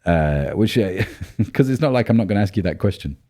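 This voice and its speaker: A man co-hosting a podcast in casual chat, his deep baritone made rougher by a cold, thinking aloud with many ums and restarts. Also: lively intonation, brisk, mellow. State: voice low and rough from a cold spell. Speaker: podcast co-host.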